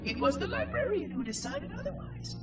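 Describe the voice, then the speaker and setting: An actor playing a small floating machine caretaker who talks in a precise, formal and oddly cheerful way. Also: male voice, extremely high pitch, wildly swooping pitch, brisk, far from the mic, over loud music, full character voice. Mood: solemn. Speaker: monitor of an installation. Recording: game score playing behind.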